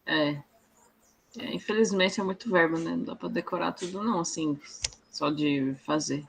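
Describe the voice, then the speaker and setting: Student, gravelly fry in the voice, mid pitch, reactive and joking. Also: moderate pace, a little hiss or hum, poor audio. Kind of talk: casual class chat.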